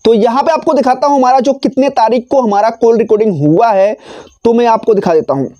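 A man's voice: very high voice, brisk, dark tone. A man presenting a step-by-step phone tutorial off the cuff, in a repetitive instructional way. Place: small decorated indoor room. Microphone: clip-on lapel mic.

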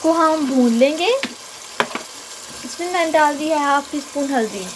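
A woman's voice: high-pitched, moderate pace, background noise. A woman hosting a cooking channel, explaining each step of a home recipe in a friendly, casual voice-over. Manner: friendly step-by-step explaining, mixing in English words. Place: home kitchen. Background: frying and stirring sounds.

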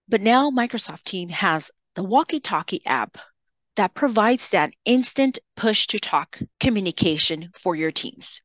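A woman software trainer giving an instructional screen-share tutorial, explaining in a measured way and addressing learners directly. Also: lowish voice, no room sound.

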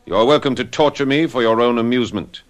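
A man's voice: mid-range voice, fast talker, lightly acted. An actor playing a brooding, scornful, vengeful young man in a radio drama, with a mocking, menacing manner.

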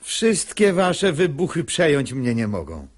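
Sanctimonious swindler, swooping intonation, unhurried pomp, theatrical radio verse. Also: male voice, on the high side, huge pitch swings, full character voice. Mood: smug, pious. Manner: pious, measured, pompous. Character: sanctimonious, smug male hypocrite and swindler. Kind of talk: verse stage comedy performed as radio drama.

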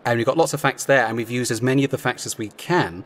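A man's voice: mid-range voice, fast talker, a bit bright. A man chatting enthusiastically and wordily in an interview as a drama writer. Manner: enthusiastic, wordy, acting out quotes. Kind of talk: casual interview chat.

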